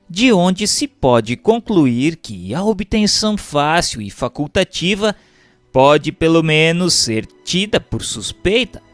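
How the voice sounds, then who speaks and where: Slow, expressive Brazilian man narrating. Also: on the high side. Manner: measured, formal, carefully phrased. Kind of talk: scripted audiobook-style reading of a doctrinal text.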